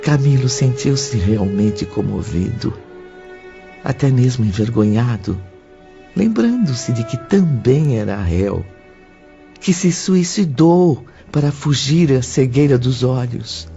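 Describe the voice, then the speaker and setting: Slow, measured male narrator. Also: mid-range voice, animated, vocal fry, warm tone. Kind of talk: scripted audio drama narration. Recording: dull audio under music.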